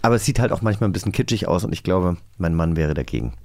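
A man's voice: low-pitched, expressive, quick pace, a little mellow. A man chatting casually and enthusiastically as a podcast host. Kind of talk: casual chat.

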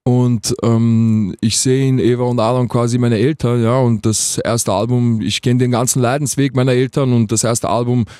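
A man's voice: medium pitch, flat delivery, normal speed, hardly any pauses, mellow, dry recording, clean studio sound. A man, chatting casually as a guest in a radio interview. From Vienna, Austria. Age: 20s. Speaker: guest rapper being interviewed.

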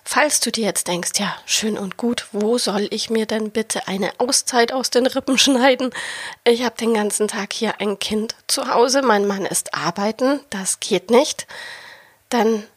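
A woman's voice: fairly high pitch, a little slow, husky, no echo. A young woman with a cold, hosting a podcast as a baby sleep coach and speaking in an encouraging way straight to the listener. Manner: warm encouraging direct address, addresses listener as du. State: has a cold. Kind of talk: casual podcast monologue.

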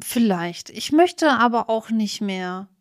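A woman's voice: on the high side, animated, slow, dry recording, clean studio sound. A woman co-hosting a podcast in casual conversation, with a playful, teasing way of answering back. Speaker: podcast co-host.

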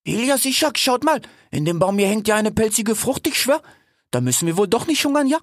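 A man's voice: sits high, big pitch swings, fairly quick, no room sound, clean studio sound, pitched above the performer's own voice. A man voicing a brash, hungry female cheetah with slangy talk and questioning tags in a storybook reading. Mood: gleeful, hungry. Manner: slangy, ends phrases with a questioning tag.

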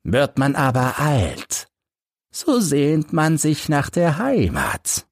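A man's voice: fairly high pitch, huge pitch swings, dry recording, studio quality, pitched above the performer's own voice, heavily acted. A man voicing an embittered, crippled old ex-soldier who solemnly recounts his suffering in an audiobook story. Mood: bitter, wistful. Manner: solemn, measured, literary delivery. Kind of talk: audiobook first-person storytelling.

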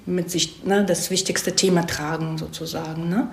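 Echoey, halting woman explaining her craft. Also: fairly low pitch, level tone, slight background noise. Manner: halting, digressive, often adds questioning tags. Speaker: screenwriter as interview guest. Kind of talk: conversational explanation of storytelling craft. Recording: echoey room.

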